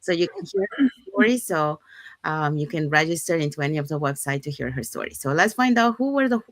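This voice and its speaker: A woman's voice: low voice, sing-song, brisk, no echo. A woman from Panama co-hosting a live-stream chat, explaining step by step with many "um"s and "so"s. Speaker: co-host.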